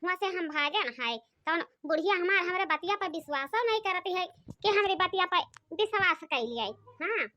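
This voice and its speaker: A woman voicing a gossipy, complaining young village wife in an Awadhi cartoon comedy, with an Awadhi accent and a shrill, nagging chatter. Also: expressive, moderate pace, sharp tone, heavily acted. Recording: dry, muffled lo-fi.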